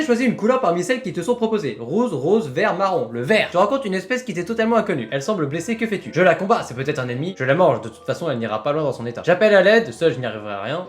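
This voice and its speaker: A young man casually reading out online quizzes and commenting on them in a playful, self-mocking way. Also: fairly high pitch. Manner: playful, self-mocking, animated with mock-dramatic asides. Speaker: online video host.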